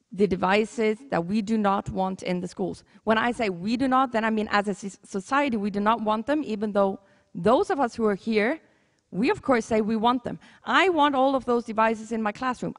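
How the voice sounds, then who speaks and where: A woman from Iceland with an Icelandic accent, a teacher giving an earnest, conversational talk and correcting herself as she goes. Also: medium pitch. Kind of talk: conference talk. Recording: long hall reverb.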